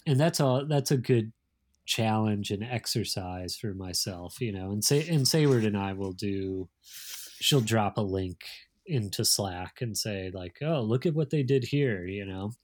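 A man talking casually as an interview guest, thoughtful and explanatory, often halting as he works through his point. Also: on the low side, darkish tone. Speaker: magazine editor, interview guest.

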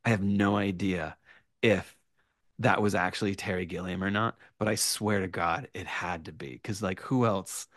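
A man telling an animated anecdote as a podcast guest, excitable and self-deprecating, with casual swearing. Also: sits low, moderate pace, dry recording, studio quality. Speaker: podcast guest, writer and storyteller.

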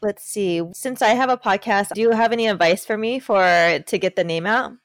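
A woman's voice: mid-range voice, brisk, dry recording. A woman in her thirties hosting a podcast over a call, gushing and casual. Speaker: podcast host, coach. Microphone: remote call.

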